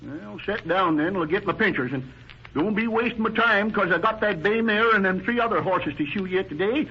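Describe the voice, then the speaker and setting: An actor playing a bossy, folksy country storekeeper with a rural American accent, in 1930s radio style. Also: male voice, sits high, animated, moderate pace. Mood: folksy, impatient. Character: folksy rural storekeeper doubling as tooth-puller and blacksmith. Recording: dull, hissy 1930s radio recording.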